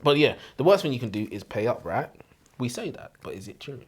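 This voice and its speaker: A man talks as co-host of a casual book show. His delivery is lively, with plenty of pitch movement in a medium-pitched voice. He puts questions to his partner with crude, joking irreverence. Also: fairly quick, husky. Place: warm room, seated in comfy chairs.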